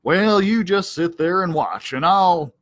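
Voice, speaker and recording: A man's voice: high voice, lively intonation, unhurried, fairly bright tone, voice pushed up. A man voicing a bossy, self-important male dog who leads in a children's storybook, with a pompous, commanding manner. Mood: smug, bossy.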